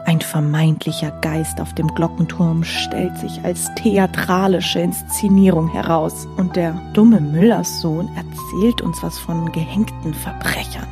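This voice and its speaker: A woman's voice: lowish voice, steady tone, measured pace, few pauses, music in the background. A woman co-hosting a podcast, delivering scripted introductions and audio play dialogue. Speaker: podcast co-host.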